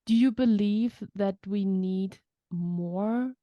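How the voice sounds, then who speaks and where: A woman's voice: mid-range voice, slow pace, no room sound, muffled recording. A woman hosting an interview podcast, supportive and asking long, searching questions. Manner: supportive, frequent affirmations. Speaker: podcast host. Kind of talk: conversational interview.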